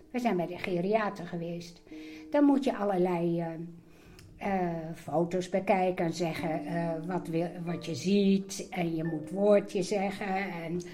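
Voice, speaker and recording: A woman's voice: fairly low pitch, a little mellow. An older woman recalling things casually, hesitating as she searches for words. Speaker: host's mother, interviewee. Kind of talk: casual personal recollection.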